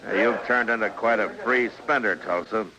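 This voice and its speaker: An American actor playing a stern, dryly sardonic frontier marshal in an old-time radio western, deliberate and laconic. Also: male voice, lowish voice, lo-fi sound, a crowd in the background, natural voice. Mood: stern, challenging.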